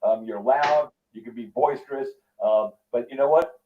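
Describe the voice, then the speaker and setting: A man's voice: medium pitch, normal speed, lo-fi sound, slight background noise. A man of fifty-one with an American accent, hosting a casual, profane interview in streetwise slang. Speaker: interview show host. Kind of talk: casual, profane video-call chat.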